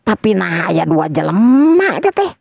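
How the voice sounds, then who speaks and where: A man's voice: high-pitched, huge pitch swings, moderate pace, gravelly, breathy edge, no echo. A man with a Sundanese accent narrating a folk tale as a storyteller, theatrical and repeating phrases for dramatic effect. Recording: dull lo-fi close recording.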